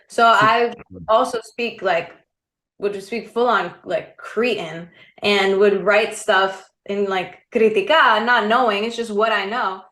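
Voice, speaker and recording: A woman's voice: medium pitch, fairly even intonation, slow pace, fairly bright tone. A young woman talks easily and at length, filling her sentences with "like" and "you know" and laughing often. Her voice sits in a middle register. The sound carries a noticeable roomy echo. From United States, raised between Crete and New Jersey. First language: Greek. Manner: chatty, laughing, many fillers like "like" and "you know". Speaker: singer, interview guest. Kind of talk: casual interview chat.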